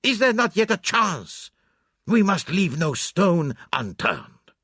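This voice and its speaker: A man voicing an excitable, theatrical foreign detective, emphatic and dramatic, in an audiobook. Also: mid-range voice, moderate pace, breathy edge, no room sound, studio quality, voice pushed up. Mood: furious, despairing. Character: excitable, theatrical foreign male detective, fastidious and self-reproaching.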